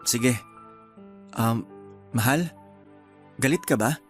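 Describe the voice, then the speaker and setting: Young husband, Filipino accent, medium pitch, soft-hearted, hesitant, apologetic, radio drama, faint music. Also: male voice, takes it slowly. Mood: worried, probing.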